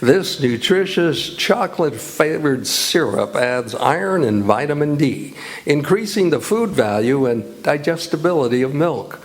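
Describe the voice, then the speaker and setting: A man's voice: a little high, animated, a little slow. A man with an American accent reading scripted credits and advertising copy as an old-time radio announcer.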